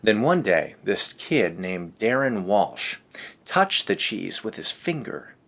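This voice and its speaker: A man with a low voice hosts a read-aloud for kids. He reads in a casual, easygoing way and drops in chatty asides. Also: very animated, slow pace, gravelly, fairly bright tone. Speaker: host of a children's read-aloud show.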